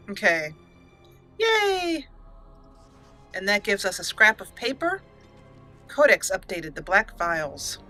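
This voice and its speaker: Upbeat woman doing a game let's play, bright voice, reads the game text out, soft game music behind. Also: medium pitch, very animated, slow, pauses often. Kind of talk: casual gameplay commentary with roleplay narration. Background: faint game music.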